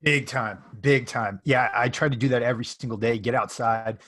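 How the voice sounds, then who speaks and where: A middle-aged man hosting a podcast interview, relaxed and friendly, often ending with "right?". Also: medium pitch, normal speed. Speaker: podcast host. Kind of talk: casual conversational interview. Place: home office. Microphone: video call.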